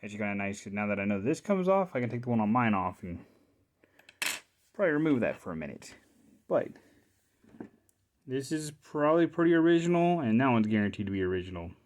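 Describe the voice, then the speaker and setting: A man giving a casual hands-on show-and-tell as a hobbyist repairer, thinking aloud in short, halting, informal phrases. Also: medium pitch, sing-song, a little mellow, a little hiss or hum. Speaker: hobbyist repairer presenting his own video.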